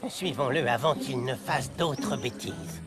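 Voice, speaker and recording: An actor voicing a pompous, lecturing crocodile scholar in a cartoon dub. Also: male voice, medium pitch, a little slow, natural voice. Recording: music under dialogue.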